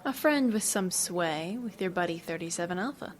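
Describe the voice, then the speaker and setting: An actress playing a cool, evasive government agent in an audio drama, with a dry, teasing, knowing manner. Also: female voice, fairly low pitch, animated, moderate pace, close to an everyday voice. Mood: breezy, sly. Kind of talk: naturalistic audio drama acting.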